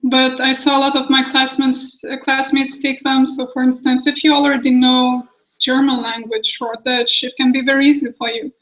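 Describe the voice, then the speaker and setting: A young woman with a high voice and little rise and fall. She talks casually, like a student sharing advice on a webinar panel. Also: flat delivery, normal speed, a bit bright, poor audio. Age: 20s. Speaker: international student speaker on a university webinar panel. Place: small office meeting room.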